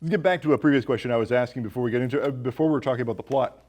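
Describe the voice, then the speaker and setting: A man's voice: medium pitch, very fast, a little mellow. A man hosting a casual film discussion, conversational and jokey, often posing questions. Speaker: podcast host.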